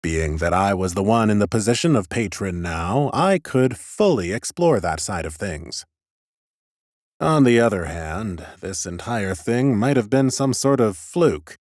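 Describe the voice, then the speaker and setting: A man's voice: fairly low pitch, expressive, a little slow, darkish tone, no room sound, studio quality. A man playing an ancient, scholarly lich who muses in a precise, pompous and clinically curious way, as in a first-person fantasy audiobook. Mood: musing, clinical.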